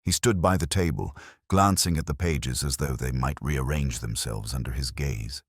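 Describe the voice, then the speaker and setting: Very deep-voiced man narrating a detective story. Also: normal speed, husky, no echo, studio quality. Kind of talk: scripted first-person detective story narration.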